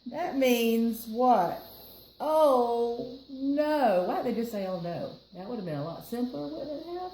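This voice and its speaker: Lively high-voiced American woman reading to kids. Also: unhurried. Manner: explanatory, chatty, addressing listeners and co-reader. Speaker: library storytime reader. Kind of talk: reading a picture book aloud with chatty asides to children. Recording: roomy echo, faint background noise.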